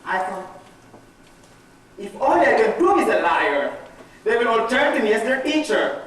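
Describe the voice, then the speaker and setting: An actor playing a bitter, guilt-ridden betrayer in a dramatic stage monologue, swinging from muttering to anguished outbursts. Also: male voice, very high voice, very animated, measured pace, fairly bright tone, full character voice. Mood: scornful, angry. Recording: very echoey hall from far off, faint noise.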